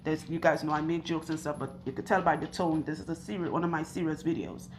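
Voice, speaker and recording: Slow, husky low-voiced woman. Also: fairly even intonation, a little hiss or hum. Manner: earnest, chatty, asks questions and recounts stories. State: hoarse, speaking slowly. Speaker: loctician and channel host. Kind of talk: talking to camera while working on a client. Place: hair salon.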